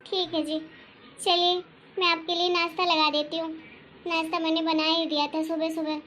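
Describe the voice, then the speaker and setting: A woman voicing a gentle, considerate elder daughter-in-law who reasons softly and respectfully in a family story. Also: very high-pitched, level tone, normal speed, a little hiss or hum. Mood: gentle, dutiful. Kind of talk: acted dialogue in Hindi family story.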